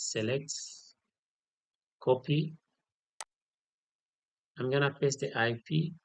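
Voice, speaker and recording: Male tutorial instructor, unscripted and slow, repeats key points, very dry close sound. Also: mid-range voice, no echo. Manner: instructional, repeats key details and checks with "okay". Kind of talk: step-by-step software tutorial, unscripted.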